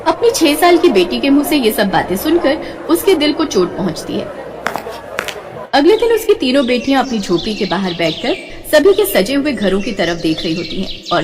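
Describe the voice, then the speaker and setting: A woman narrating a moral cartoon story in an expressive, scripted storytelling style. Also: fairly high pitch, noisy background. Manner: expressive, melodic. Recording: reverberant with soft background music.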